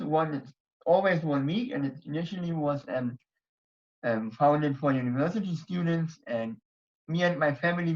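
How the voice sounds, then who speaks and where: A young man from Germany speaking English with a German accent, giving a hesitant online talk full of fillers and restarts. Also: a little high. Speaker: presenter at a society members night. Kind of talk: casual online talk with slides. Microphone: computer mic on a video call. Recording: dull lo-fi video call audio.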